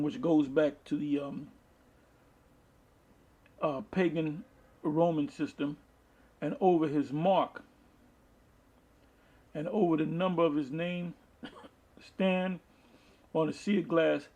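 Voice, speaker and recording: A man preaching from the Bible, reading verses aloud and explaining them in a halting, deliberate manner, with a husky, gravelly voice. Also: on the high side, a little mellow. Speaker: street-style Bible preacher. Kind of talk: Bible teaching with scripture read aloud and commentary.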